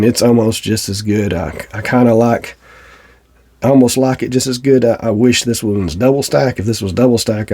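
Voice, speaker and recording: A man's voice: on the low side, mellow, slightly rough. A middle-aged man with a Southern US accent and a slightly stuffy nose, chatting plainly and casually to viewers in a review. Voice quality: slightly congested, stuffy-nosed. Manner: plainspoken, folksy. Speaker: shooting channel host.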